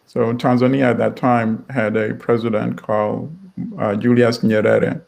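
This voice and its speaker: Middle-aged man from Uganda, publisher as interview guest; gravelly, measured, wry delivery. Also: medium pitch, little pitch movement, a little mellow, low-quality recording. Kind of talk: storytelling interview answer.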